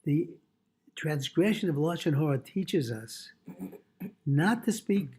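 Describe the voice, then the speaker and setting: Male rabbi-teacher, slightly high-set pitch, soft mellow tone, explanatory delivery that restates each point of a text. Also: lively intonation, slow, husky. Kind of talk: Torah lesson, reading aloud and explaining line by line.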